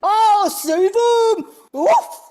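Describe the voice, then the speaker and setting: A woman's voice: extremely high pitch, drawn-out words, dark tone, full character voice. A woman voicing an eager, lovestruck golden retriever in an amateur radio play, exclaiming with spoken barks. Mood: excited.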